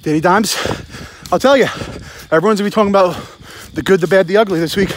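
A man's voice: sits high, quick pace, a little hiss or hum. A man in his forties giving a blunt, sweary, rambling sports rant in short emphatic bursts into a phone while walking outdoors. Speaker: sports vlogger, football fan. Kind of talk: casual postgame rant, rambling to camera. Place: outdoors at night. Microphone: phone held close.

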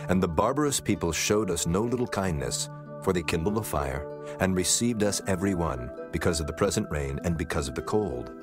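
A man narrating scripture from a script in a measured, solemn manner, as part of a dramatized reading. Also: low voice, lively intonation, over music.

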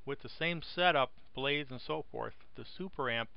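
Man, mid pitch, gravelly and smooth, dry close mic, slightly dull lo-fi sound, relaxed plainspoken explainer. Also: no room sound. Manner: relaxed, plainspoken, with frequent "uh" pauses. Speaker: video host explaining wind turbines. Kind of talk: casual screen-recorded explainer.

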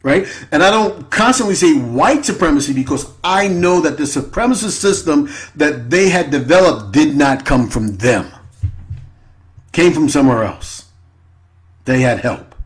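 A man's voice: lively intonation, a little slow. A man hosting an internet radio show, giving an unscripted political monologue with repeated rhetorical questions. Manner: repetitive, rhetorical "right?" tags, sweeping pitch.

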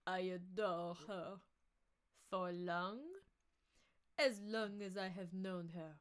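A woman's voice: on the low side, fairly even intonation, clear, resonant tone. A woman voicing a lovesick, melodramatic young man with an earnest, resolute delivery in a novel reading. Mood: anguished devotion. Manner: earnest, dramatic, drawn-out.

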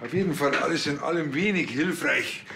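A man's voice: fairly high pitch, brisk, gravelly, background noise. An actor playing a cocky, stubborn village policeman with a Bavarian accent, talking in a colloquial, matter-of-fact way. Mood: earnest, self-assured. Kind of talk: naturalistic comedy-crime dialogue.

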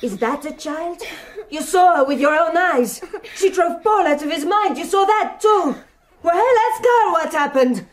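An actress playing a bitter, accusing hotel proprietress, scornful and goading in a radio drama. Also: female voice, extremely high pitch, expressive, unhurried.

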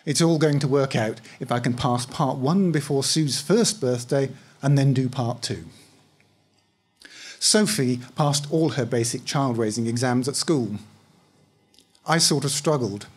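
A middle-aged man with a husky voice, reading a first-person short story aloud in a conversational, wry way. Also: medium pitch, a little slow. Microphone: microphone on stand, close.

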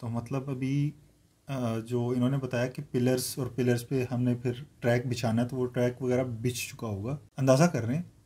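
A young man, co-hosting a casual reaction chat from a sofa indoors, relaxed and thinking aloud. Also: mid-range voice, moderate pace, breathy edge. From Pakistan. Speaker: reaction video co-host. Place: indoor room, seated on a sofa.

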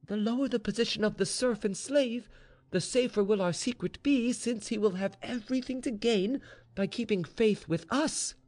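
A man's voice: sits high, moderate pace, a little mellow, pitched above the performer's own voice. A man voicing a shrewd young Russian maidservant who counsels her mistress with insistent, reasoned urgency in a storybook reading.

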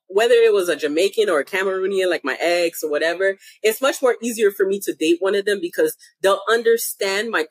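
A woman's voice: medium pitch, dry recording. A young woman of Haitian family from the United States, talking earnestly as a podcast guest and searching for words as she goes. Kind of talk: reflective interview conversation.

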